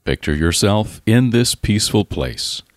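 Low male voice doing guided meditation, really unhurried n soothing, dry close sound. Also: dry recording. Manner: calm, soothing, deliberate delivery with pauses. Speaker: guided relaxation narrator. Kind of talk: scripted guided meditation narration.

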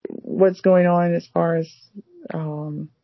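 Woman, lower voice, rambling casual talk, lots of fillers, dry muffled sound. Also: flat delivery, slow, no room sound. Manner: rambling, many fillers and restarts. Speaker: language learner guest. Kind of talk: casual conversation.

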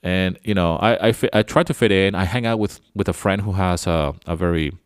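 Very deep-voiced man rambling. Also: fairly quick, smooth, no echo, clean studio sound. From Venezuela. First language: Spanish. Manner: rambling, loose and chatty. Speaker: podcast host. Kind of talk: casual reflective monologue.

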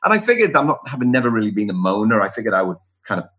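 A man's voice: fairly low pitch, sing-song, racing, bright, forceful tone, breathy edge. A middle-aged man from Liverpool, England, answering conversationally as an interview guest, with emphatic repeated phrases and lists. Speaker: podcast guest, speaker and author on workplace culture.

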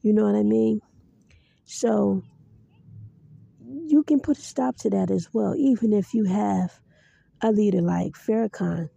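Podcast host, female, earnest and preachy, very dark gravelly voice, dry close sound. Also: mid-range voice, measured pace, long pauses, no room sound, highs cut off. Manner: earnest, preachy, speaks to listeners directly. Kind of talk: solo commentary.

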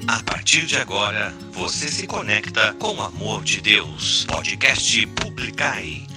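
Low, solemn male announcer over music. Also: animated, takes it slowly. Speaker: podcast announcer. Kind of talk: slow scripted show tagline.

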